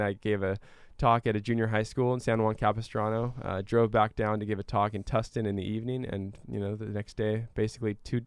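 Male interview guest, lowish smooth voice, earnest explainer, conversational, dry recording. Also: dry recording. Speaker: interview guest, climate activist and scientist.

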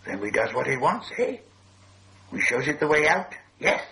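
Sly, wretched storybook cave creature: hissing, whispery, drawn-out words, voice leaping widely. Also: male voice, medium pitch, very animated, bright, forceful tone, pitched above the performer's own voice. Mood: wheedling. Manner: drawn-out words, odd childlike grammar.

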